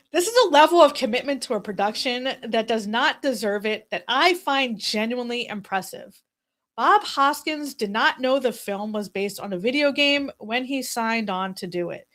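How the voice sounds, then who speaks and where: High voiced woman hosting a video essay, really animated, punchy lines w dramatic pauses. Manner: emphatic, with short punchy phrases and dramatic pauses. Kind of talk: scripted video essay narration.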